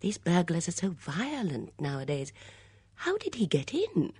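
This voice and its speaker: A woman narrator acts out a gentle old lady of a mystery storybook, prim and gossipy. The voice lifts high and wavers up and down, hesitant and murmuring, yet quietly shrewd. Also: wildly swooping pitch, a little slow, slightly rough, dry recording, studio quality, full character voice. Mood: gossipy, fretful. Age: older.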